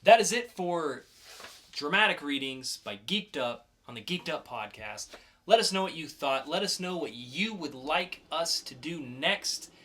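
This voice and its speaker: A man's voice: a little high, animated, unhurried, fairly bright tone. A man co-hosting a podcast, chatting casually about comics. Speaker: podcast co-host.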